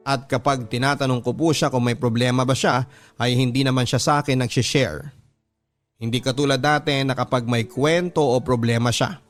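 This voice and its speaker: A man reads as a heartbroken young wife and mother in a Filipino radio drama. The delivery is earnest and confessional, with a Filipino accent and measured, scripted phrasing. The pitch is mid-range for a man, and music plays underneath. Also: lightly acted. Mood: sorrowful. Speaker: radio drama narrator reading a listener's letter. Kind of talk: dramatic first-person scripted storytelling. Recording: music bed under narration. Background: background music.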